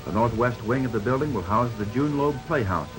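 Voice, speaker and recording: Male American newsreel announcer, 1950s style, mid-pitched, scripted delivery over a prominent music bed. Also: lively intonation, fairly quick, poor audio. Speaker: newsreel narrator. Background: background music.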